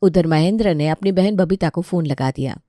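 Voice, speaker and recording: A woman's voice: fairly low pitch, moderate pace, mellow, dry recording, clean studio sound. A woman narrator reading a scripted family story.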